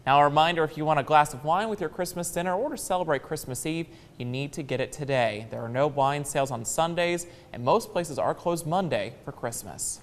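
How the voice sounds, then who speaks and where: A man's voice: a little high, a little fast, hardly any pauses. American guy anchoring the local news, scripted read, lots of long reverb on it. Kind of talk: scripted newscast delivery.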